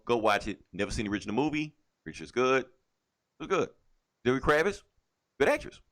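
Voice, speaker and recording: A man co-hosting a podcast, chatting casually about comics and games in a profane, opinionated, animated way. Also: mid-range voice. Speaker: podcast co-host. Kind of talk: casual comic book and video game chat.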